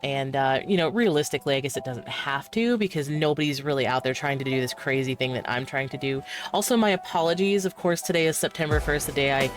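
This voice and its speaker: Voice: mid-range voice, fast talker, hardly any pauses, soft background music. Stuffy, nasal streamer with a cold. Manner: rambling, many fillers, talks through moves. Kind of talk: casual gameplay commentary.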